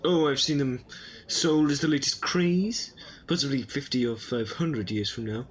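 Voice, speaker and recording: A man's voice: medium pitch, moderate pace, slight background noise, close to an everyday voice. A man playing a quirky, eccentric time-traveling adventurer in an audio drama, speaking in a whimsical, rambling way. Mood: urgent, wry. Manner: whimsical, rambling, self-interrupting.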